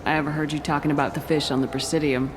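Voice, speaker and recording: A woman's voice: sits low, little pitch movement, racing, background noise, lightly acted. A woman voicing a terse, direct starship commander in a video game. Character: steely female starship commander.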